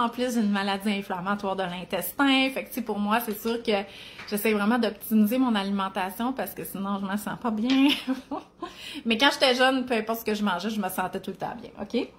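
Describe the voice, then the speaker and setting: A woman's voice: medium pitch, fairly quick, a little hiss or hum. A woman in her forties with a Quebec French accent, chatting casually to camera as a mom and nutrition author, talking in an easy flow with tag questions and little laughs. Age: 40s.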